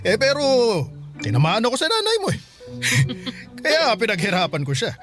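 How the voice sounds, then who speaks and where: An actor playing a caring, bewildered middle-aged Filipino father in a radio drama, speaking gently and questioningly. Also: high-pitched, huge pitch swings, moderate pace, music in the background. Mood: fond, reminiscing. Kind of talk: Tagalog radio drama acting.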